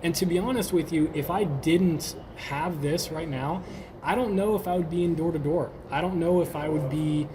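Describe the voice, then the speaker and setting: A man's voice: on the high side, normal speed, noisy background. A man in his twenties from Sweden, a podcast guest talking casually and enthusiastically. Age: 20s. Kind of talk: casual interview chat.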